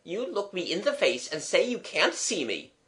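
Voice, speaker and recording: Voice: medium pitch, very animated, moderate pace, a bit bright, pitched above the performer's own voice. A reader voicing an indignant working-class young woman, bossy and scolding, in a storybook. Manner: scolding, demanding.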